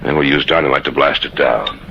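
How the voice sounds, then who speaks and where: A man's voice: a bass voice, brisk, vocal fry. A man acting an assured, cautious archaeologist leading a dig in an old-time radio drama. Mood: businesslike. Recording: dull old radio recording, faint noise.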